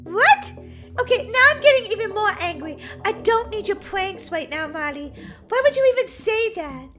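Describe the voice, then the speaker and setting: A woman's voice: very high voice, very animated, a little slow, over music, exaggerated voice. A woman voicing a whiny, easily angered young girl in a children's roleplay story, with emphatic delivery. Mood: angry. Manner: indignant, emphatic.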